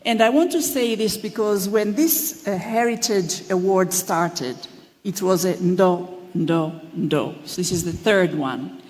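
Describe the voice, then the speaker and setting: A woman from Tanzania, giving a formal, measured acceptance speech at an awards ceremony. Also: lowish voice, a little mellow. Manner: measured and deliberate, slowing to stress key phrases. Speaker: award recipient. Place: large hall at an awards ceremony. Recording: long reverberant hall.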